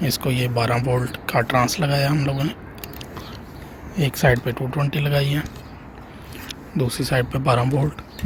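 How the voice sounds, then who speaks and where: Man, mid-pitched, flat intonation, plain unscripted tutorial narration, clear background noise. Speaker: installer presenting a tutorial. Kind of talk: step-by-step hands-on tech tutorial narration. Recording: clearly noisy room ambience.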